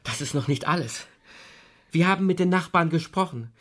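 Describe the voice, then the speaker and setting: An actor playing an earnest, troubled man under suspicion in a radio drama, with a husky voice and insistent delivery. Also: fairly high pitch, animated, normal speed, fairly bright tone.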